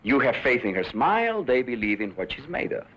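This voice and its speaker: An actor playing a defiant, embittered outlaw in a western drama, speaking with impassioned intensity. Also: male voice, mid-range voice, sing-song, brisk, muffled recording, slight background noise. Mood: bitter, resigned. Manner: impassioned, swinging between muttering and shouting.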